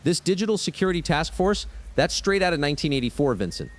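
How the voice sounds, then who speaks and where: High, animated conspiracy-minded caller. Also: male voice, fast. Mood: suspicious, insistent. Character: suspicious, insistent male conspiracy-theorist radio caller. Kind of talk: radio call-in drama acting.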